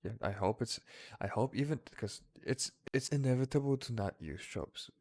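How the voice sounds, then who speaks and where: A man's voice: mid-range voice, normal speed, a little mellow, no echo. A man co-hosting a casual discussion of anime, speaking informally and swearing now and then. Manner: informal, profane. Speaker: podcast co-host. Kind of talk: casual chat about anime.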